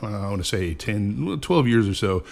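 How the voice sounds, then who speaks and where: A man's voice: fairly low pitch, animated, moderate pace, creaky, darkish tone. A man around fifty from California, telling rambling stories in a casual, profane, joking way as a podcast guest. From California, USA. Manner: rambling, profane, jokey storyteller.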